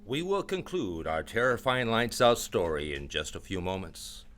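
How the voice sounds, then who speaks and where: A man's voice: on the low side, big pitch swings, normal speed, fairly bright tone, faint music behind. A man announcing a radio drama in a scripted, dramatic style.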